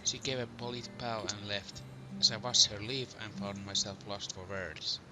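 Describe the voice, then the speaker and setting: A man's voice: on the low side, steady tone, normal speed, music in the background, close to an everyday voice. A man playing a wary young hero who narrates his thoughts about demons in an amateur game fandub. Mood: doubtful, brooding.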